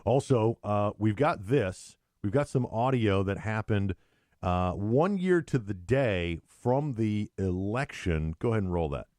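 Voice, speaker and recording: A lower-pitched man with a lively, rising and falling delivery hosts a casual podcast, cracking sarcastic jokes and spinning animated stories. His voice sounds close and dry, with no room echo around it. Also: a little slow. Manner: joking, sarcastic, animated storytelling. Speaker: podcast co-host.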